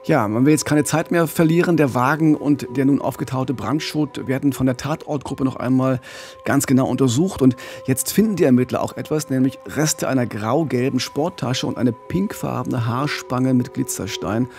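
A man's voice: mid-range voice, normal speed, darkish tone, faint music behind. A middle-aged man co-hosting a true-crime podcast, telling a case conversationally into a close studio microphone. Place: small indoor podcast studio. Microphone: close studio condenser microphone with pop filter.

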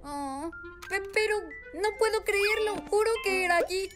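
A woman voicing a small, excitable boy rabbit in a children's cartoon, with a Latin American Spanish accent and an expressive, childlike manner. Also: extremely high pitch, unhurried. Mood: anxious, urgent. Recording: music behind the voice.

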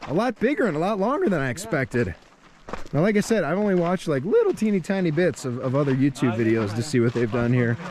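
Animated man vlogging outdoors. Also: on the high side, fast talker, crowd noise. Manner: upbeat, joking, walking and talking to a handheld camera. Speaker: travel vlogger. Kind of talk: casual travel vlog. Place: outdoors on a rocky riverside path by a bridge. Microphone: handheld camera at arm's length.

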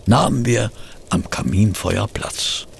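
An actor playing a detective's genteel companion and storyteller in an audio drama, gravelly and expressive. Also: male voice, fairly low pitch, slow pace, a little hiss or hum, full character voice. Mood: calm narrating. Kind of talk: German radio play acting.

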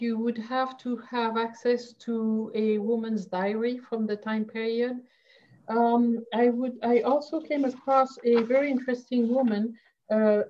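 A woman from France with a French accent, a history professor speaking on an online panel, pausing often with fillers and restarts. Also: a little high, muffled recording. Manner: halting, many fillers and restarts. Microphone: video call.

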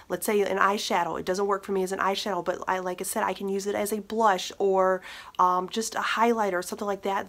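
A woman's voice: medium pitch, a bit bright. A woman in her thirties with a cold that makes her slightly nasal, chatting casually to the camera about makeup from her bedroom, upbeat and full of little fillers. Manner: chatty and upbeat, with many fillers and small laughs. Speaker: beauty vlogger. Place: bedroom, indoors. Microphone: camera at desk distance.

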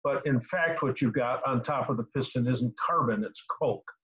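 An older man in his sixties hosts a casual technical question session over a video call. He explains in a halting way, often repeating a word before going on. His pitch is middling and the sound is slightly dull. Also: fairly bright tone. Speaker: host answering car repair questions. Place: small home room indoors. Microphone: computer mic on a video call.

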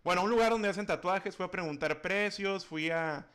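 A man's voice: sits high, a little fast, fairly bright tone, smooth. A man, chatting casually on a phone call during a livestream. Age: 20s. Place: indoor room at home.

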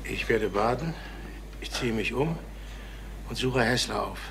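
An actor playing a nervous, defensive older travelling salesman who confesses, in a halting, brooding radio crime drama manner. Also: medium pitch, animated, fairly bright tone, slight background noise. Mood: brooding, haunted.

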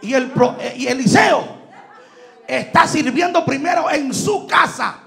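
A man in his thirties preaching a fiery sermon on a church stage into a handheld mic, shouting and mixing Spanish with English. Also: very high voice, expressive, measured pace, vocal fry. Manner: shouting, emphatic, switching between Spanish and English. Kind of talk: Pentecostal sermon. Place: large church stage indoors. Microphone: handheld microphone.

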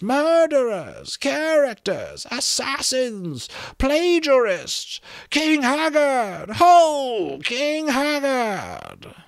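A man's voice: on the high side, bright, forceful tone, no echo, clean studio sound, pitched above the performer's own voice, heavily acted. A man voicing a treacherous, impish talking skull from a fantasy storybook, insistent and theatrical in manner.

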